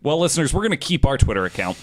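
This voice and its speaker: A man's voice: a little high, very animated, racing. A man hosting a podcast in a casual, sweary and jokey chat style. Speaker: podcast host.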